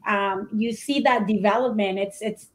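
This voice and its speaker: A woman's voice: mid-range voice, steady tone, normal speed. A woman hosting a live interview show from home over a video call, talking enthusiastically and laughing often. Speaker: show host. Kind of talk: casual interview chat. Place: home room.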